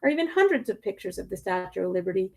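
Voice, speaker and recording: Animated woman reading aloud, creaky edge. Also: medium pitch. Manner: deliberate, dramatic emphasis with pointed questions and pauses. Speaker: media specialist reading a picture book aloud. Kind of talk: expressive picture-book read-aloud.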